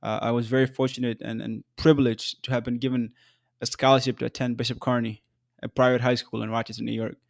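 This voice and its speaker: A young man from South Sudan hosts his own podcast, telling his story in a measured, earnest voice. His pitch sits in the middle range, and he drops in an occasional um or restart. The recording is dry and close, with almost no room sound. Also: flat delivery, gravelly, no room sound. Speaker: podcast host. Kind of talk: scripted solo podcast narration.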